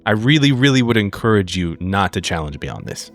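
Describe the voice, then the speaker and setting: A man's voice: lowish voice, big pitch swings, brisk, faint music behind. A man playing an impatient, menacing tough companion, curt and confrontational, in a tabletop horror game.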